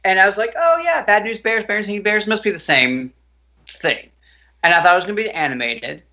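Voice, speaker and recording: A man co-hosting a casual online pop culture chat show, telling a childhood story in an animated way. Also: a little fast, muffled recording. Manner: animated storyteller, jumps into squeaky voices for himself. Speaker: podcast co-host.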